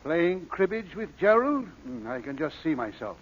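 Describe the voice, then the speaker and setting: A man's voice: on the high side, big pitch swings, normal speed, lo-fi sound. An actor playing a sly, courtly old cardsharp father, avuncular and wily, in 1940s radio style. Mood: grumbling, scheming. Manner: avuncular, mock-reluctant, wily.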